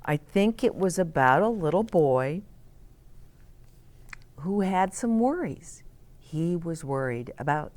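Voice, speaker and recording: A woman reads and chats through picture books for young children. Her voice sits a little low and swings widely in pitch. She takes her time, leaning on key words and putting simple questions to the young listener. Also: darkish tone. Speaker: children's storybook reader and host. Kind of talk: read-aloud story time with commentary for young children.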